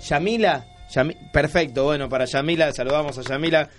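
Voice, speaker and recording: A man's voice: on the high side, fairly quick, soft background music. An Argentine man hosting a radio magazine show with casual chat.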